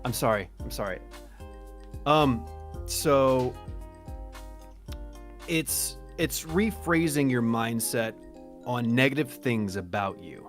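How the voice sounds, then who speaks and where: A man's voice: mid-range voice, takes it slowly, pauses often, soft background music. A man hosting a casual chat about art and mindset, stopping often to restart and check his notes. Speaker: artist host interviewing a guest. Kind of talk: casual video call chat.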